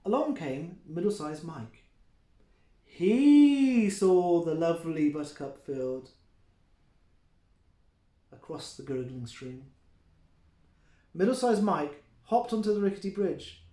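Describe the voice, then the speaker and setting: Animated, high-voiced man telling a children's story. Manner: expressive, deliberate, playful. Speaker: early years teacher reading a story. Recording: roomy, echoing indoor sound.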